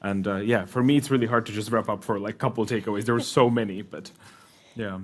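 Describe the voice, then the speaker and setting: Guy moderating a panel, lower voice, lots of mm-hmms. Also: fairly even intonation, fast. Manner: soft-spoken, frequent backchannel. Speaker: seminar moderator.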